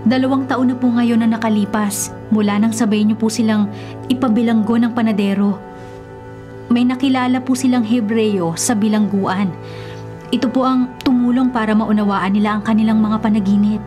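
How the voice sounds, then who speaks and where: A woman's voice: fairly high pitch, steady tone, normal speed, mellow, natural voice. An actress playing a polite, deferential palace servant bringing word to a king in a radio drama. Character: deferential female palace servant bearing a message. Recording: radio drama over clear background music.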